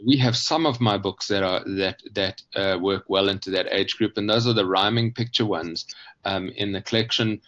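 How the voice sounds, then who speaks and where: Low-voiced man, halting and thoughtful, dull audio. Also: fairly bright tone. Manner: halting, thoughtful, pausing mid-phrase to rephrase. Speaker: guest, children's book author. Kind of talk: conversational explanation in an online interview.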